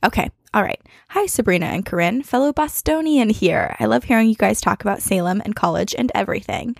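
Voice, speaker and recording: A woman co-hosting a podcast, chatting casually and reading listener emails aloud in an expressive, conversational way. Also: mid-range voice, fairly quick, creaky, dry recording, studio quality. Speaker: podcast co-host.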